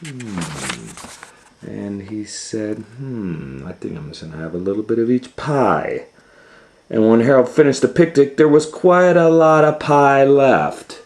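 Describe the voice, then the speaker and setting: A man's voice: mid-range voice, animated, a little slow, darkish tone. A man reading a children's storybook aloud as a storytime host, retelling it informally with musing asides. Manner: improvised asides and musing hums.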